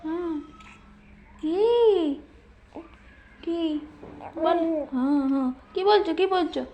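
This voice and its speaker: Very high, slow woman cooing baby talk. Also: warm tone, a little hiss or hum. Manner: cooing, sing-song, repeats short questions; teasing, mock scolding. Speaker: caregiver talking to a baby. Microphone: phone held while talking.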